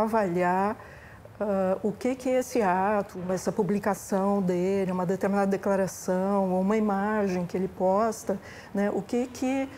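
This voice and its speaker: Brazilian woman explaining in an interview, soft dark tone, lots of tag questions, faint noise behind. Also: mid-range voice. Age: middle-aged. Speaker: expert interviewee. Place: indoors, library room. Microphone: lapel.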